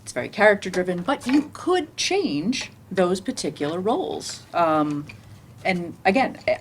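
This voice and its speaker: A woman speaking as a theater panelist in an informal discussion, halting at times and emphatic, often repeating words for emphasis. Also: on the low side, slight background noise. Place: indoor library event room.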